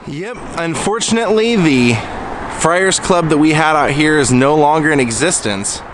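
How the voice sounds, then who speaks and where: A man's voice: fairly high pitch, normal speed. A man in his thirties, a travel vlogger talking casually to a handheld camera outdoors, telling a story while his voice starts to go. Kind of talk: casual vlog narration. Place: outdoors on a sunny street. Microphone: handheld camera at arm's length. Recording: outdoor traffic noise behind.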